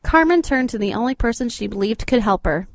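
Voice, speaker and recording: High-pitched woman narrator, dry studio sound. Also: animated, fairly quick, no echo. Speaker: recap narrator. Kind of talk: scripted story narration.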